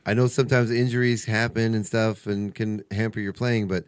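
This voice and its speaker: A man's voice: lowish voice, little pitch movement, moderate pace, clear, resonant tone, no room sound. A man hosting a casual radio chat, rambling through stories in a chatty, conversational way. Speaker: radio show host. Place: radio studio.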